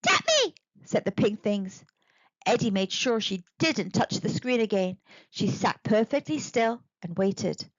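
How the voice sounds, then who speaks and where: A woman narrating a children's storybook in an expressive, deliberate read-aloud manner. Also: mid-range voice, no room sound, highs cut off. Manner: animated, deliberate.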